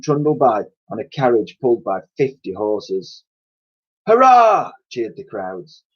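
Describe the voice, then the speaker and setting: A man's voice: mid-range voice, highs cut off. A man reading a children's storybook aloud expressively, like a teacher addressing his pupils.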